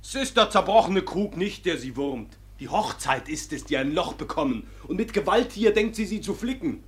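An actor playing a hot-headed, wounded young peasant in a classical German radio play, curt and scornful. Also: male voice, high voice, animated, moderate pace, husky. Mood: scornful anger. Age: young adult. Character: bitter, hot-headed young peasant jilting his sweetheart.

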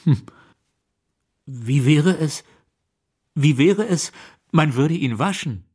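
Simple, kindly grey-haired gentleman, husky, slow and dreamy, swooping pitch, radio play. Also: lively intonation, heavily acted. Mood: puzzled, pondering. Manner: hesitant, dreamy, repeats words slowly.

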